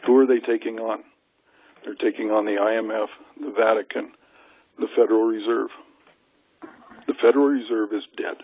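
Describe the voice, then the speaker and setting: A man's voice: on the low side, fairly even intonation, moderate pace, pauses often, dry recording. A man giving an informal, emphatic and assured briefing to a group over a conference call, often posing rhetorical questions. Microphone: telephone conference call. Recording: lo-fi conference phone line.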